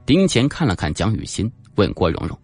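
A man's voice: lowish voice, very animated, brisk, a little mellow, soft background music. A man reading a scripted suspense novel as an audiobook narrator, with a dramatic storytelling delivery.

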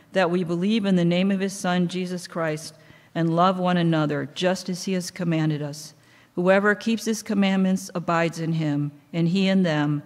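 A middle-aged woman reading Bible lessons aloud at a lectern in a church, in a measured, steady cadence. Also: on the low side. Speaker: lay scripture reader. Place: church sanctuary, indoors. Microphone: microphone on a stand at a lectern. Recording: long church hall reverb.

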